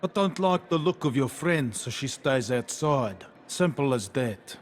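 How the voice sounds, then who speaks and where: An actor playing a suspicious, wealthy male crime boss in a video game, measured and falsely courteous. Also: medium pitch, lively intonation, lightly acted. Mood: suspicious, haughty. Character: smooth, suspicious wealthy crime-boss host, coolly menacing. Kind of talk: video game villain acting.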